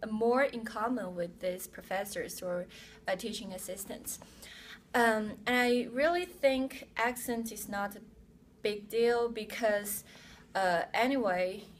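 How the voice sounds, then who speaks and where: A woman, earnestly answering interview questions with pauses and ums. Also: medium pitch. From mainland China. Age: 20s. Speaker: exchange student interviewee. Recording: distant mic with faint room noise.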